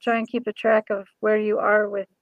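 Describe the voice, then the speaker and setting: A woman's voice: medium pitch, level tone, moderate pace, no echo, dull audio. A woman hosting a casual interview show, speaking in an informal, chatty way with sentences that sometimes trail off. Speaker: show host; ordained minister, former pastor.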